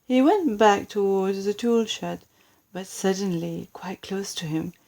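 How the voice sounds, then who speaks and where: A woman with a British accent narrating a children's storybook expressively, with deliberate dramatic emphasis on key words. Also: medium pitch, gravelly, darkish tone. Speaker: storybook reader.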